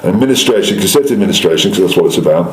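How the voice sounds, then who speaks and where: A man's voice: low-pitched, lively intonation, very fast, reverberant, a little hiss or hum, rough recording. A middle-aged man answering political interview questions as a local councillor, assured, repeating and correcting himself as he makes his case.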